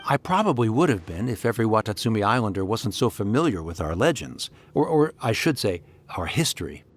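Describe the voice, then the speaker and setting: A man's voice: on the low side, very animated, fairly quick, slight background noise. An actor playing an earnest, trusting young soldier in a video game, telling his account sincerely. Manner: sincere, storytelling. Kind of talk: video game character dialogue.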